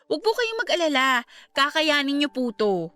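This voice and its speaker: A woman acting a polite young niece in a radio drama, reassuring and respectful, over soft music. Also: high-pitched, sing-song, moderate pace, exaggerated voice. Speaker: niece about to work abroad.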